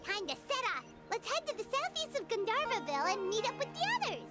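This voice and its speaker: Game fairy sidekick: tiny, childlike, sky-high and bouncy delivery, music underneath. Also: female voice, sing-song, fairly quick. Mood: chirpy, excited. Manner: exclaiming, speaks of self in third person. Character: small chirpy excitable childlike fairy companion, female. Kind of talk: video game voice acting. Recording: music behind the voice.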